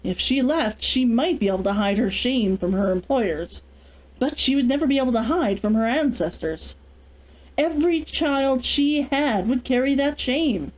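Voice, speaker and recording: A woman reads a story aloud as the host of a read-along. Her pitch is on the high side. Her narration is expressive, rising and falling in a lively way. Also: moderate pace, poor audio.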